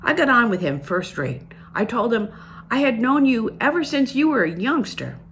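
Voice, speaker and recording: A self-satisfied young businessman from a novel, read by a woman, speaks with assurance and a knowing air. The pitch moves in broad swings, with faint noise behind it. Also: sing-song, moderate pace, a bit bright. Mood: confident.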